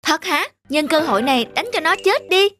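A woman voicing wary, mean village boys in an animated fairy tale, excitable and childlike. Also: very high-pitched, fairly quick, voice pushed up. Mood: curious, mean. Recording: music under the voice.

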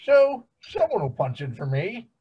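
A man voicing a lazy, grumbling middle-aged cartoon dad with a husky, whining delivery. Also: high-pitched, wildly swooping pitch, unhurried, dark tone, dull audio, full character voice. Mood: indignant. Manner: whining, indignant.

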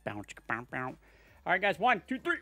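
A man hosting a casual livestream giveaway, chatting with viewers and family in quick bursts. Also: fairly high pitch, wildly swooping pitch, sharp tone, soft background music. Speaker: livestream host running giveaways.

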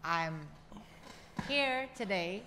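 A woman's voice: mid-range voice, animated, extremely slow, bright, forceful tone, long reverb tail, a little hiss or hum. A woman joining her husband's call, speaking hesitantly with many fillers. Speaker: host's wife and business partner. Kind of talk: casual chat on a call.

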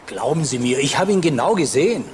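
An actor playing a plain-spoken, insistent bus driver in a German crime radio drama, talking colloquially. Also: male voice, on the high side, animated, quick pace, darkish tone, a little hiss or hum, close to an everyday voice.

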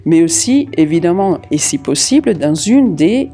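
A middle-aged woman with an Italian accent, an interpreter answering interview questions with many hesitant filler pauses, seated in an office. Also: fairly low pitch, sing-song, dark tone, breathy edge, faint music behind. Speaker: court interpreter. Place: office room with large window.

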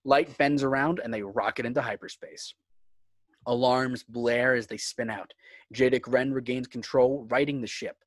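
A man's voice: medium pitch, fairly even intonation, normal speed, no room sound. A man reading scripted screenplay narration and stage directions for a table read.

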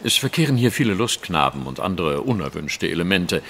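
An actor playing a shrewd, self-assured English detective in an audio drama, speaking precisely and eloquently in a lecturing manner. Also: male voice, low voice, very animated, a little fast, a bit bright, husky, full character voice. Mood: composed, grave.

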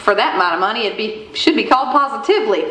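A woman's voice: on the high side, expressive, rapid-fire, crowd noise, natural voice. A woman playing a sassy, grumbling small-town wife and mother in a folksy comedic live radio play. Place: theater stage with stand microphone. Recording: echoey stage hall.

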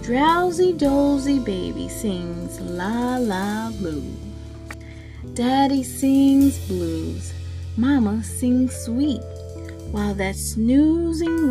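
A woman's voice: fairly high pitch, expressive, extremely slow. A young woman reading a rhyming children's picture book like a radio host, playful and stressing the beat. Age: young adult. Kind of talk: rhythmic children's picture book read-aloud in radio DJ style. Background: jazz music.

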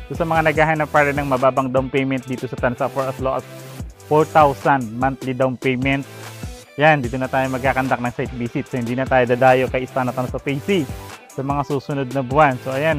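A man's voice: medium pitch, fairly bright tone. A man in his thirties from the Philippines, an agent hosting a walking site tour outdoors on a lapel mic, talking in an upbeat sales patter full of fillers. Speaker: real estate agent and channel host. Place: outdoor construction site, sunny and open. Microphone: clip-on lapel mic.

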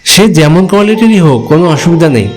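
A man playing a hungry, stranded village traveler, telling his ghost story in expressive, polite, conversational first-person narration and dialogue. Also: fairly high pitch, fast, faint music behind. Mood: polite, insistent.

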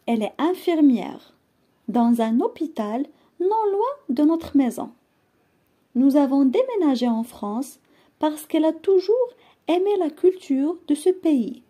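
A woman teaching, reading a short text aloud and translating it phrase by phrase, slow and careful. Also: high voice, mellow. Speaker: French teacher.

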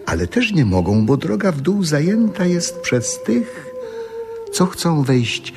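An actor playing a whimsical philosophizing storyteller, delivering a solemn parable as a radio drama monologue. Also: male voice, on the high side, big pitch swings, takes it slowly, over music, heavily acted. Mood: musing. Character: whimsical male storyteller, philosophizing about happiness.

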